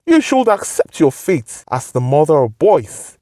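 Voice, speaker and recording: Reproving village father acted by a female narrator: devout, firm, lively intonation, dry recording. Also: low voice, measured pace, dry recording, heavily acted. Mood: admonishing. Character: Halima's husband, admonishing devout village father.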